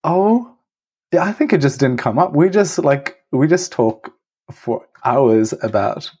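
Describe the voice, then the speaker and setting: A young adult man, a doctor talking in a measured, thoughtful way in a casual chat. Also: a little high, animated, breathy edge. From India. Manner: thoughtful, measured, soft laughs. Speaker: doctor, podcast guest.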